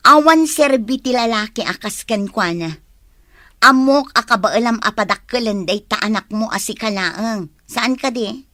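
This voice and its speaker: High-pitched, outspoken young woman, gossipy, blunt, radio drama, dry sound. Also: normal speed, pushed and bright, no room sound. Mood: scornful. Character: outspoken, scornful young female cousin. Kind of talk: Ilocano radio drama acting.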